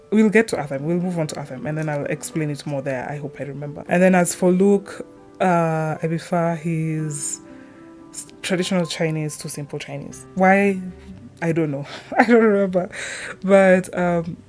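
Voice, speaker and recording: A woman talking casually in a rambling, giggly commentary, often halting and cutting herself off mid-thought. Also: on the low side, a little mellow. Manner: chatty, halting, self-interrupting, giggly. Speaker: video creator.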